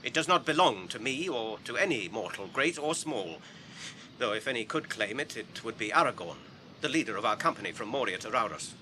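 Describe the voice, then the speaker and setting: An English actor playing an earnest, courteous, guarded hobbit traveller in a radio drama, speaking in a measured, formal way. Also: male voice, medium pitch, animated, bright, forceful tone, a little hiss or hum. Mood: firm, measured. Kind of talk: BBC radio drama acting.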